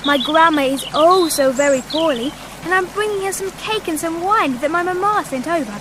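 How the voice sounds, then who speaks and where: High-voiced, naive fairy-tale girl. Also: normal speed, noisy background. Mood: gushing, sweet. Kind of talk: comic radio play acting.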